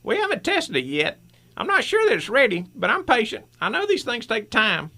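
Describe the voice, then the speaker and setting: A woman's voice: on the high side, huge pitch swings, moderate pace, sharp tone, full character voice. A woman playing a friendly, confident scientist and inventor in an old-time radio drama, chatty and encouraging. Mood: friendly, proud.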